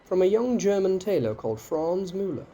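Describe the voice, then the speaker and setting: An actor playing a formal, put-upon Victorian police inspector in an audio drama, official and courteous. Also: male voice, high voice, big pitch swings, normal speed, warm tone. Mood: businesslike.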